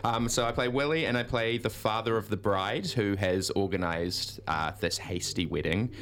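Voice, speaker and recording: Deadpan low-voiced New man. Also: moderate pace, noisy background. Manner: dry deadpan jokes, chuckling. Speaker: theatre actor guest. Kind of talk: casual interview chat. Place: studio.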